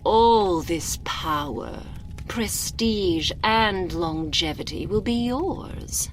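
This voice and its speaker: A woman playing an imperious, seductive and menacing vampire noblewoman in an ASMR roleplay, haughty and theatrical, with drawn-out phrasing. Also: mid-range voice, animated. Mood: grand, commanding. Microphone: binaural microphone. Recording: close binaural mic, faint background noise.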